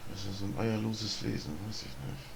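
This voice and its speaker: Noticeable noise surrounds a German man doing casual let's play commentary. His voice is very deep and creaky. He chats loosely, as if thinking aloud. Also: animated, brisk. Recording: noisy gameplay recording.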